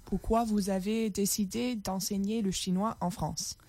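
A woman's voice: medium pitch, fairly even intonation, unhurried, warm tone, no echo. A woman radio host announcing the station and politely asking a guest questions. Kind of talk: radio interview hosting.

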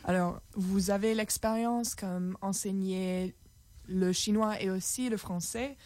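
A woman's voice: medium pitch, fairly even intonation, takes it slowly, darkish tone, no room sound. A woman radio host announcing the station and politely asking a guest questions. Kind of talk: radio interview hosting.

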